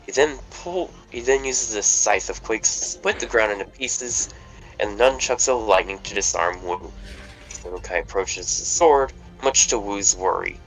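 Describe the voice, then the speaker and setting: A man's voice: on the low side, normal speed, a bit bright, rough recording. A man reading the stage directions aloud as narrator of a table read, in a plain, matter-of-fact way. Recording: music under the voice.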